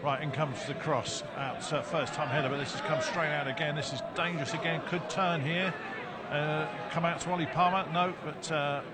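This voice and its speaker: A man's voice: on the high side, normal speed. A man giving live play-by-play football commentary, often correcting himself as the action unfolds. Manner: excitable play-by-play with frequent self-corrections. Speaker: match commentator. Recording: loud crowd noise behind commentary. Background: stadium crowd.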